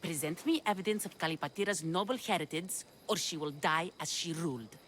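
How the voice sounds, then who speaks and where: A woman's voice: on the low side, fairly quick, a bit bright, a little hiss or hum, natural voice. A woman voicing a stern, commanding priestess in a game. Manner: cold, commanding. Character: stern priestess presiding over the games.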